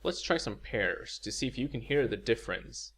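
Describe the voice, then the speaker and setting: A man teaching in a scripted video lecture, explaining clearly and saying example words for listeners to repeat. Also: mid-range voice, expressive, normal speed, a bit bright. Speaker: language teacher.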